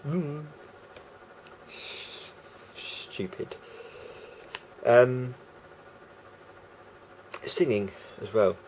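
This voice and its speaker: A man with a croaky voice talking casually to camera as a vlogger, rambling and hesitant with many fillers and restarts. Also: mid-range voice, animated, extremely slow, long pauses, a little mellow, a little hiss or hum, poor audio.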